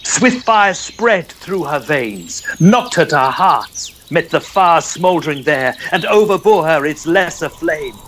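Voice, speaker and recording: Guy narrating an old victorian poem, slow n theatrical, birdsong and noise behind. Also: on the high side, animated, fairly bright tone. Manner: theatrical, measured, dramatic. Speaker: narrator in a dramatic poetry reading. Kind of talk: dramatic reading of a Victorian poem.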